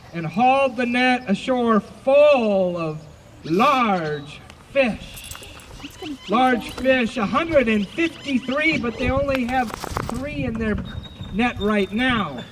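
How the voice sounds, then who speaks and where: A man narrating scripture for a live outdoor dramatization, projecting deliberately and repeating phrases. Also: extremely high pitch, expressive, a bit bright. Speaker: narrator of an Easter service dramatization. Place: outdoors by a lake. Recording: faint outdoor noise, birdsong. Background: birds and outdoor ambience.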